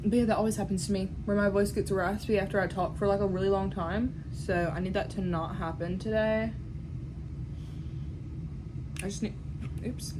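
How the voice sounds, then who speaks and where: Girl, 18, rambling vlog chat into her camera at home, lots of likes and ums, noisy background hiss. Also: mid-range voice. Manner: chatty, lots of "like" and "um" fillers. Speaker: vlogger. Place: at home in a bedroom. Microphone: phone or camera on a tripod.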